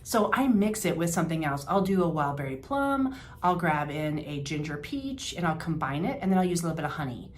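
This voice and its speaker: A woman's voice: sits low, big pitch swings, fairly quick, slight background noise. An older woman, a health practitioner giving casual wellness advice in a homey, chatty way with fillers and restarts. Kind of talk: informal health advice talk to camera.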